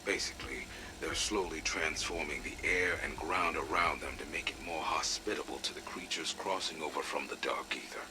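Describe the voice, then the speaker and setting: A man playing a grizzled, blunt veteran security chief in a game audio log, with a gravelly, breathy voice. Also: rumbling low, fairly even intonation, moderate pace, background noise. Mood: wary, explanatory. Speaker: military security commander. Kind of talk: acted audio log briefing.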